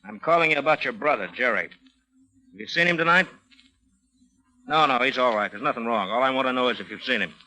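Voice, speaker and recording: An American actor playing a shrewd, suspicious homicide lieutenant in a vintage radio crime drama, clipped and sardonic. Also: male voice, medium pitch, quick pace, pauses often, pushed and bright. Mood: brisk, businesslike. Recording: noisy vintage radio recording with clicks.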